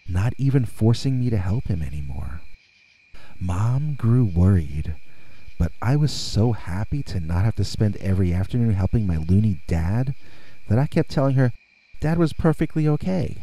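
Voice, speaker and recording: A man with a low, husky voice narrates a scary first-person tale. His tone is very dark and soft-edged. He reads in a measured way, leaving dramatic pauses between phrases. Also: poor audio. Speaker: narrator and reader of scary stories. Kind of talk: scripted first-person horror storytelling.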